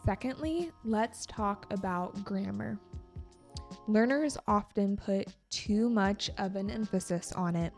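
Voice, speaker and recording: Woman, young teacher, slow measured delivery, encouraging, faint music underneath. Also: mid-range voice. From United States. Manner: calm, encouraging, slow and deliberate. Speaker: podcast host and English teacher. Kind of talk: scripted educational podcast talk.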